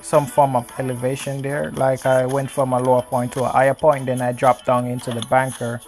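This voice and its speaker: Gravelly jamaican-born guy explaining his golf game shots, lots of ums, music faint behind. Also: mid-range voice, little pitch movement, a little mellow. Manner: explains slowly with many ums. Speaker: gamer giving tips. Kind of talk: casual gameplay commentary.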